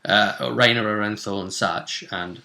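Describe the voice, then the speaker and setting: A man's voice: lowish voice, fairly even intonation, measured pace, gravelly, bright, forceful tone. A man hosting a casual, pundit-style discussion of a role-playing game, explaining things chattily and checking for agreement. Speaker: host and game master.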